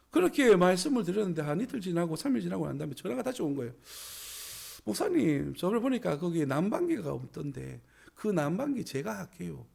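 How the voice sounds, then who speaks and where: Hoarse, gravelly preaching man. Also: a little high, darkish tone. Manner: emphatic preaching with rising exclamations. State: hoarse from a cold. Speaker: pastor. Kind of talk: sermon. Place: small church.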